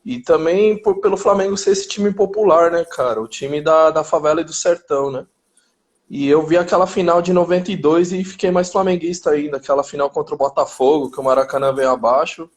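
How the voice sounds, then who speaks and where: Interview guest, forties, Chilean-born man, slightly high voice, easygoing street talk. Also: brisk. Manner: relaxed, street slang, frequent restarts. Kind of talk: casual interview chat.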